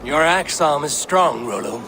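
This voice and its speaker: An actor playing a commanding, stern Viking raider in a video game, with a measured, authoritative manner. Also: male voice, on the high side, lively intonation, noisy background. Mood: resolute.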